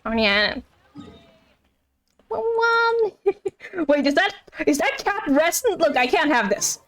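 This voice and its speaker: A woman's voice: extremely high pitch, sing-song, slow pace, fairly bright tone. A woman chatting casually on a game livestream, rambling and thinking aloud in a playful, giggly, self-deprecating way.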